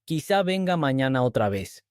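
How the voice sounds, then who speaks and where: A man reading simple scripted dialogues slowly and clearly, then speaking as the host of a language lesson. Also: mid-range voice, no echo, studio quality. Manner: clear, even, measured delivery.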